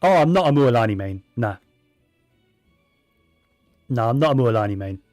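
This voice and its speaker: A man's voice: mid-range voice, lively intonation, moderate pace, darkish tone, dry recording, soft background music. A man giving casual, rambling commentary while playing a video game, with plenty of fillers and restarts. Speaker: gaming streamer.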